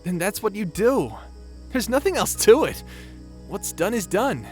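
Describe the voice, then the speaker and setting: Youthful, easygoing space pirate played by a man, higher-pitched, teasing but supportive, audio drama with light music underneath. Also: sing-song, a little slow. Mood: reassuring. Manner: casual, teasing, reassuring.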